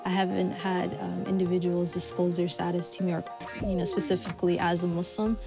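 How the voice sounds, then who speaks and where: A woman's voice: on the low side, little pitch movement, fairly quick, gravelly. A young woman from Somalia who works as an HIV outreach coordinator, speaking thoughtfully with frequent fillers. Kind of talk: documentary interview and voice-over. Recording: voice-over with music underneath.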